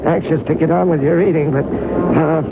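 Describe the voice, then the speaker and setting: A man's voice: a little high, fairly even intonation, moderate pace, gravelly. An actor in an old-time radio drama playing a young man disguised as an old man, hesitant, fussy, polite and apologetic. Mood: frail, polite. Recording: dull old radio recording, heavy noise.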